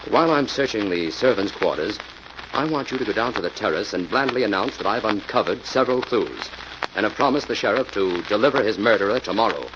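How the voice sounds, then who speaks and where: A muffled, noisy vintage radio recording carries an actor playing a male detective in a 1940s mystery play. His voice is fairly low, measured and commanding, with the calm authority of a man in charge of a murder case. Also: natural voice. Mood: businesslike.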